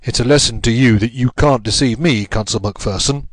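A male narrator puts on a smug police inspector in a storybook reading. He is self-important and taunting, with lively swings of pitch, recorded close and dry. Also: normal speed, no echo, natural voice. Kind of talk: audiobook character voice.

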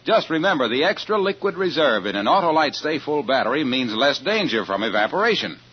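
An actor playing a breezy, emphatic, husky pitchman in a 1940s radio advertising skit. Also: sing-song, fairly quick. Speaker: commercial pitchman in a comic sponsor skit. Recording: muffled old radio broadcast.

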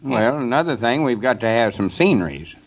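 An actor playing a stubborn, scheming old rural storekeeper with a folksy Southern American drawl in a 1930s radio comedy. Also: male voice, mid-range voice, big pitch swings, brisk. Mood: earnest, pondering. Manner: folksy, drawling country talk. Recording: 1930s radio broadcast recording.

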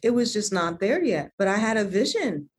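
A woman's voice: mid-range voice, very animated, moderate pace. Interview guest: woman designer from Connecticut, earnest and reflective, repeats words mid-thought. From United States (Connecticut).

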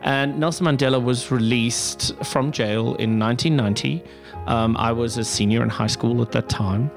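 A man's voice: medium pitch, normal speed, creaky, faint music behind. A middle-aged man with a South African accent, answering interview questions thoughtfully with many fillers and restarts. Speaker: executive director of a pride center.

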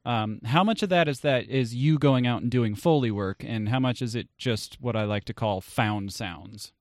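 A man hosts a relaxed conversational interview in a mid-range voice. His delivery is blunt and informal, with the odd curse. The sound is dry, with little room in it. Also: medium pitch, moderate pace, dry recording. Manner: blunt, casually profane. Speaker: podcast host. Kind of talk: casual interview chat.

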